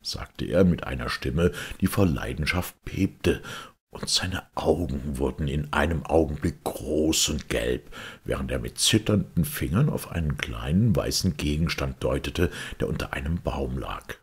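A man reading a story aloud as an expressive audiobook narrator. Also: a bass voice, unhurried, slightly rough. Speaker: audiobook reader.

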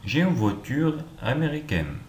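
A man teaching language, reading each short phrase with clear, deliberate pronunciation and repeating it several times. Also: medium pitch, big pitch swings, a little mellow. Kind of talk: slow repeated phrase drills. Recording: echoey room with faint noise.

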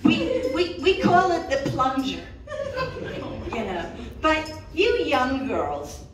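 A woman's voice: high-pitched, huge pitch swings, crowd noise. Older lady comedian, self-deprecating and raunchy, recorded from a distance in a really echoey room. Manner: bawdy, self-deprecating, punchy delivery with drawn-out pauses. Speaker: stand-up comedian. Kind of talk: live stand-up comedy routine. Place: small cat cafe room with audience, indoors. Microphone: handheld microphone, recorded by camera from audience. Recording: roomy, echoey, distant mic, some room noise.

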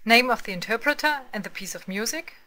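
A woman narrates a scripted screencast in a measured, instructional way. Her voice is fairly high and distinctly bright. A faint layer of noise sits behind the voice-over. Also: animated. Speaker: tutorial narrator.

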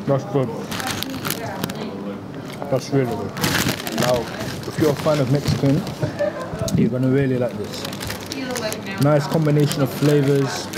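A young man, casually reviewing a burrito to camera on a handheld mic, relaxed and chatty as he eats. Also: mid-range voice, very noisy. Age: young adult. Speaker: food reviewer. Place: street outside a restaurant, then small canteen indoors.

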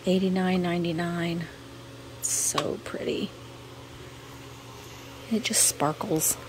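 An American woman giving casual, murmured shop-along commentary in a hushed, gentle voice that often drops to a near-whisper, recorded on a handheld camera microphone. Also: on the low side, fairly even intonation, slow pace. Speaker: vlogger showing finds while shopping. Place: indoors in a cluttered antique mall. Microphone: handheld camera's built-in microphone. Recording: clear ambient store noise, sensitive camera microphone.

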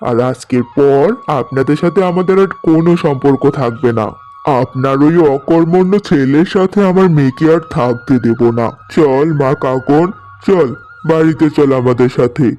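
A man's voice: fairly high pitch, normal speed, dark tone, natural voice. A man playing a stern, protective older father in a cartoon story. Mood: stern, indignant. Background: background music.

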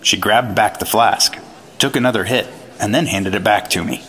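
An actor playing an earnest, straight-laced 1950s FBI agent in a retro radio drama, matter-of-fact and clipped. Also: male voice, lowish voice, normal speed, pushed and bright. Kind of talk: retro radio drama acting with first-person narration.

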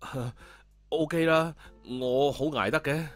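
A man's voice: on the high side, takes it slowly, no room sound, faint music behind. A man voicing a polite, awkward young male hairdresser in an audio story, deferential and hesitant. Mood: timid, hesitant.

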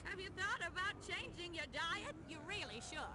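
A woman's voice: very high-pitched, moderate pace, exaggerated voice. A woman voicing a relentlessly sunny robot tour guide in a video game, with perky, sing-song delivery. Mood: politely disapproving. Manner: sing-song, perky, scripted hospitality patter. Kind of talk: video game character acting. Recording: loud game music underneath.

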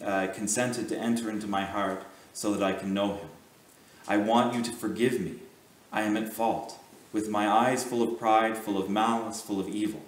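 A man giving a sermon as a priest, speaking in a measured, earnest way with deliberate pauses. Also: fairly low pitch, reverberant, far from the mic, slight background noise, rough recording.

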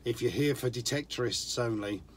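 A middle-aged man with a hoarse voice from a cold, rambling casually while giving a walking tour. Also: mid-range voice, moderate pace, a little hiss or hum. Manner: rambling, chatty, with frequent ums. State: hoarse, losing his voice from a cold. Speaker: vlogger touring filming locations. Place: outdoors on small town streets.

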